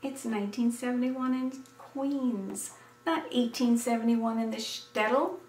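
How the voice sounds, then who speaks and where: An American woman in her seventies reading a scripted personal story in an expressive, deliberate way. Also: fairly high pitch, slight background noise. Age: 70s. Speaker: essayist reading her memoir. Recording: roomy, distant microphone.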